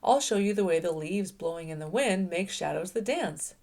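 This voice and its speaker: A woman voicing a big, loud, eager moose in a children's storybook, with an emphatic way of talking. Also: fairly low pitch, animated, moderate pace. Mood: coaxing. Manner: bellowing, emphatic.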